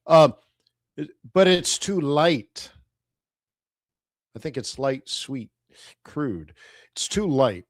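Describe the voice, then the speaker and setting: A man's voice: fairly high pitch, animated, slow, long pauses, no room sound. Canadian man, middle-aged, casual live-stream talk, rhetorical questions, dry sound. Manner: chatty, throws rhetorical questions at viewers. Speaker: host of a live trading stream.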